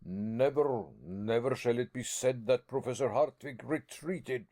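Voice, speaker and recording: A man's voice: medium pitch, measured pace, mellow, lightly acted. A man voicing a stubborn older professor and explorer who refuses to turn back, tender at first and then harsh and commanding. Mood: fierce.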